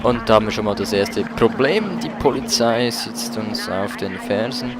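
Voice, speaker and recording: Gamer man over loud music. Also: fairly low pitch, lively intonation, normal speed. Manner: colloquial, mildly swearing, exclaims while playing. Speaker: let's play host. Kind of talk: casual gameplay commentary. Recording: loud music and car noise behind. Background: game music and car engines.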